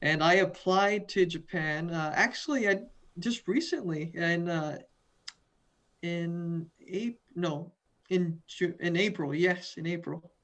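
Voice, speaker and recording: A man's voice: a little high, slow pace, fairly bright tone. Earnest young man, stumbling restarts. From western United States. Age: young adult. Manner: earnest, repeats words and restarts often. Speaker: student, interview guest. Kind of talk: video call interview.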